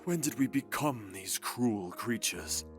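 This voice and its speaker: A man's voice: mid-range voice, lively intonation, soft background music. A man playing a remorseful, brooding vampire in an audio drama, speaking mournfully. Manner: slow, mournful. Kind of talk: visual-novel audio drama.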